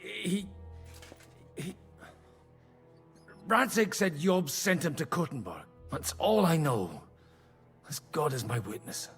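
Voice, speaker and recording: An actor playing an earnest, halting captive priest in a video game cutscene. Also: male voice, a little high, very animated, husky, heavily acted. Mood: anxious, cooperative. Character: captive male priest, earnest, pressed into confessing. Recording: music behind the voice.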